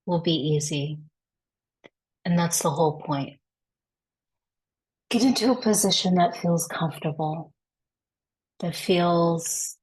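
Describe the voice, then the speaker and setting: A woman guides a body scan practice in a somewhat low voice. Her delivery is very slow and unhurried. She gives each instruction gently and with reassurance. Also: husky, rough recording.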